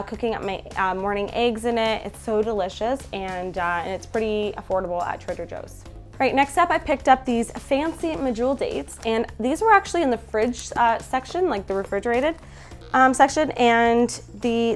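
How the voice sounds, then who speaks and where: A young Canadian woman with a stuffy, nasal voice from a head cold, chatting casually in her bedroom about groceries. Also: on the high side. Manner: chatty, upbeat, many fillers. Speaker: food vlogger. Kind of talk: casual haul video chat. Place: bedroom at home.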